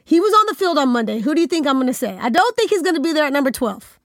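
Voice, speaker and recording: A woman's voice: high voice, big pitch swings, racing, no echo, clean studio sound. A woman in her thirties co-hosting a sports podcast, upbeat and chatty, slightly nasal from a cold. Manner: upbeat, chatty, laughs easily. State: has a cold. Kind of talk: casual sports chat.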